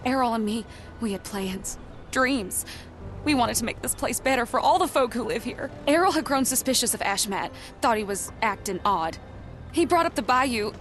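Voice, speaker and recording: High-voiced, guarded Southern working girl. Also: expressive, normal speed, a bit bright, soft background music. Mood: anxious, sorrowful. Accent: Southern American. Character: guarded, sassy working girl with a soft heart. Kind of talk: video game voice acting.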